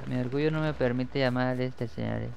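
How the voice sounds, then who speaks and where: Young Mexican gamer, gravelly voice, excitable commentary over faint gunfire. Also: male voice, mid-range voice, brisk, a little hiss or hum. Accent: Mexican Spanish. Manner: excitable, swears when killed. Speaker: gameplay commentator. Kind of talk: live gameplay commentary.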